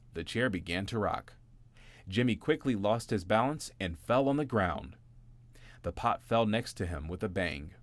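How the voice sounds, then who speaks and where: A man reading a bedtime story aloud in a gentle, expressive storytelling manner. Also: lowish voice. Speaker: narrator.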